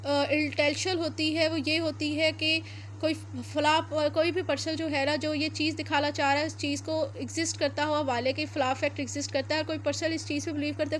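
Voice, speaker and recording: High-voiced Pakistani woman teaching law. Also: slight background noise. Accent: Pakistani Urdu. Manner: explains slowly, reads English statute text word by word, frequent "uh" fillers. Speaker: law lecturer. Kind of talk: explanatory law lecture.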